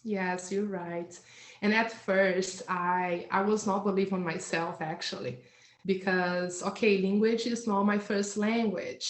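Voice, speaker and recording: A woman whose first language is not English, a hypnotherapist guest talking in an earnest, halting way with many fillers and restarts over a video call. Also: mid-range voice, fairly even intonation. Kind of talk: casual interview. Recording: roomy echo.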